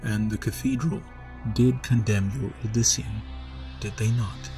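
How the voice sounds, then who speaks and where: A male narrator gives voice to a high priest whose low, smooth tone sounds kindly on the surface but carries something sinister underneath. He talks softly and coaxingly, in a measured storybook manner, with music playing clearly behind him. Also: steady tone, natural voice. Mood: persuasive.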